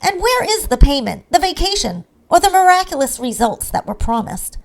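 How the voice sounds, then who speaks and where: A woman's voice: sits high, sing-song, moderate pace, creaky, pitched above the performer's own voice, heavily acted. Woman as a fed-up, indignant working gnome. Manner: indignant, protesting. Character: fed-up hard-working gnome, male, complaining about his job.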